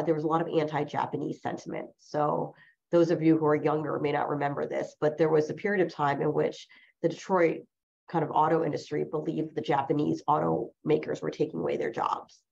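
A woman's voice: low voice, flat delivery, normal speed, creaky, darkish tone. A woman in her forties, a lawyer who grew up speaking Chinese as her first language, telling personal stories in an interview with reflective, rambling phrasing and frequent self-corrections. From New Jersey, USA. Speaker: lawyer, guest. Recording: dull lo-fi recording.

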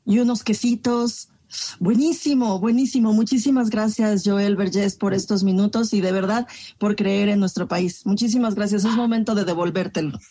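Woman, Mexican accent, radio co-host, mid pitch, warm mellow tone, hesitant questioning. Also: fairly even intonation, moderate pace, husky. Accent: Mexican Spanish. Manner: hesitant, many fillers while framing questions. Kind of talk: radio interview hosting.

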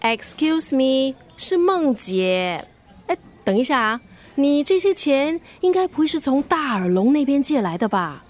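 An actress playing a scornful, sharp-tongued family friend in a radio drama, sarcastic and blunt, with a Singaporean accent. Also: female voice, high voice, big pitch swings, unhurried, faint music behind. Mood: bossy, mocking. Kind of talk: Mandarin radio drama acting.

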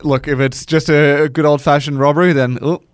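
A man hosting a casual podcast chat, joking and rushing through asides. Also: fairly high pitch, level tone, no room sound, clean studio sound. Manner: chatty, joking, speeds up in asides. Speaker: podcast host.

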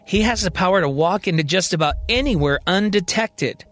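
A man's voice: high-pitched, animated, brisk, fairly bright tone, soft background music. A man voicing an urgent, questioning male investigator in a science fiction audio story, with a probing manner.

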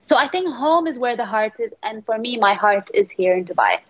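A woman's voice: fairly high pitch, animated, brisk, highs cut off. A woman born in England, a radio guest, chatting casually and telling stories with many fillers and restarts.

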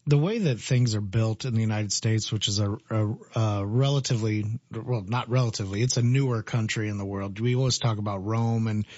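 A man with a mid-range voice chats on a morning radio show. He is agreeable, jumps in often and works through ideas out loud. His mic sounds dry and a little dull. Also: on the low side, dry recording. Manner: agreeable, chimes in often, works through quick math aloud. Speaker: radio co-host. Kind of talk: casual local radio talk.

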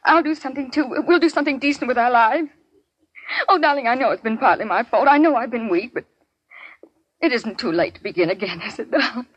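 A woman's voice: fairly high pitch, expressive, fairly quick, no room sound. An actress playing an anxious, devoted gangster's girlfriend in a 1940s radio melodrama, earnest and pleading. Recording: dull 1940s radio recording.

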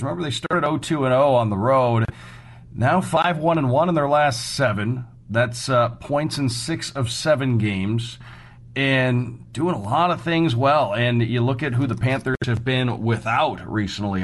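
A man hosting a sports show, recapping hockey news in a semi-scripted radio style. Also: mid-range voice.

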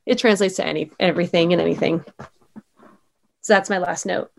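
A woman's voice: on the low side, fairly even intonation, a little fast, gravelly, slight background noise. Chatty young woman, upbeat and casual. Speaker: guest, former sports anchor. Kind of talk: casual interview chat.

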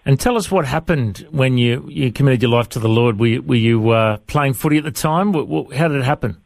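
A man's voice: medium pitch, brisk, creaky, a little mellow, no echo. A man, hosting a casual interview in a chummy, matey way. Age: 40s. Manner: chummy, calls guest mate and brother. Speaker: podcast host.

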